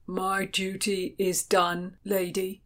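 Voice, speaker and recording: A woman puts on a large male boatman from a storybook, stoic and dutiful. His words are few and come very slowly, each one set down deliberately as a plain command. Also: medium pitch, monotone, fairly bright tone. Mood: solemn. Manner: slow, deliberate, terse commands. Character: big stoic boatman, man, dutiful, terse. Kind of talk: audiobook character acting.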